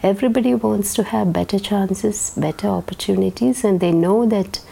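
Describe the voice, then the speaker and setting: A woman speaking in a reflective, deliberate way in an interview. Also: mid-range voice, creaky, mellow, a little hiss or hum.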